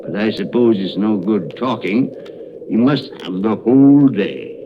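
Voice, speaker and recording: Crotchety old miser, slow dramatic delivery, widely swinging intonation, curt, vintage radio drama, muffled noisy recording. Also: male voice, on the low side, animated. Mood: grumbling, indignant. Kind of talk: 1930s radio drama acting.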